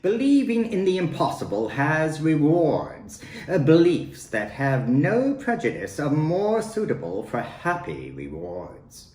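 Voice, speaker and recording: A man recites his own poems at a slow, deliberate pace, with theatrical pauses between phrases. His pitch swoops widely from line to line. The room around him is echoey. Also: darkish tone. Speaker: poet reading own work. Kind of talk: dramatic poetry recitation. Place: at home, roomy indoor space. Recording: roomy echo, faint background noise.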